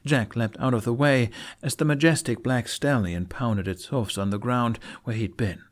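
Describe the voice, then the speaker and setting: A man narrating a fantasy story in an expressive, theatrical audiobook style. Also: medium pitch, normal speed. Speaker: fan fiction narrator.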